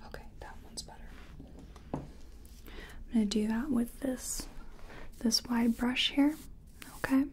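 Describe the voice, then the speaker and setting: A woman softly whispering in an ASMR roleplay as a makeup artist, breathy and gentle, with a hesitant, murmuring delivery. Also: fairly high pitch, steady tone, unhurried, pauses often, slight background noise. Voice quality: breathy, mostly unvoiced whisper.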